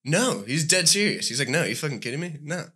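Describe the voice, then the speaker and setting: A man on a podcast, joking and ribbing his co-host in relaxed chat. His voice sits a little high with a bright, tense edge. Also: quick pace, husky. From Irish descent. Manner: joking, teasing.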